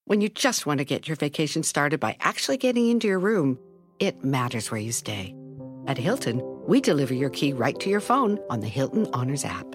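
A woman's voice: sits low, big pitch swings, moderate pace, music in the background. A woman reading a scripted commercial voice-over in a studio with a wry, sarcastic delivery. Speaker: ad narrator.

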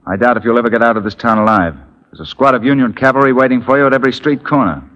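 An actor playing a confident, wry Union captain in a 1940s radio drama, nonchalant and dryly understated. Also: male voice, mid-range voice, racing, a bit bright, natural voice. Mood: dry, unruffled. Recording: muffled 1940s radio recording.

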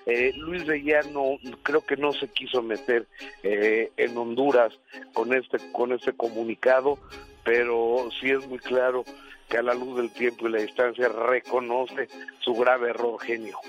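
A man's voice: medium pitch, little pitch movement, a little slow, muffled recording, faint music behind. A man giving entertainment news on a radio show, talking casually and hesitantly with many fillers.

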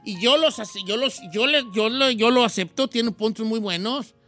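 A man runs a call-in radio show in a very high, piercing voice. His delivery is bright and punchy, full of teasing slang as he rushes from caller to caller. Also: faint music behind. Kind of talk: playful rapid banter taking calls.

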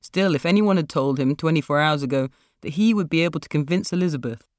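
A woman's voice: deep voice, fast, a little mellow, no echo. Woman narrating fiction, dry close sound. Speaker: author reading own story. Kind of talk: literary short-story narration.

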